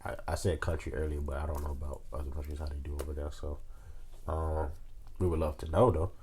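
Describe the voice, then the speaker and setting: Very deep male voice, Southern US accent, young, sore throat, rambling joking podcast chat. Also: little pitch movement, fast talker, a little mellow, slightly rough. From South Carolina, United States. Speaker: podcast co-host. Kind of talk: casual chat.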